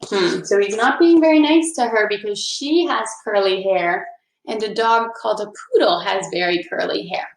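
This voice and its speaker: A woman's voice: mid-range voice, normal speed. A woman teacher reading a picture book aloud and explaining things simply for young children. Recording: roomy, echoing indoor sound.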